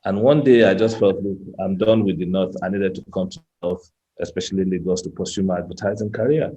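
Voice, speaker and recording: A man's voice: low voice, steady tone, brisk, dark tone, breathy edge. A Nigerian man in his fifties giving a chatty personal talk as a guest speaker, often repeating words and restarting his sentences. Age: 50s. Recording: muffled lo-fi sound.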